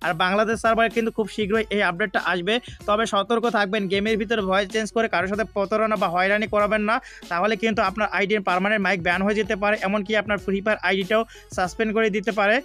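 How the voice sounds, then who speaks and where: A man's voice: high-pitched, little pitch movement, rapid-fire, bright, forceful tone. A man with a Bangladeshi accent narrating a gaming tutorial step by step, often repeating his points. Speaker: gaming YouTuber. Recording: faint background music. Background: music.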